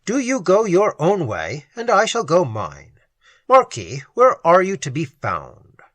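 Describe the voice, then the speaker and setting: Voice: mid-range voice, huge pitch swings, measured pace, fairly bright tone, no echo, heavily acted. A reader voicing a sensible, wry young cavalier in an audiobook.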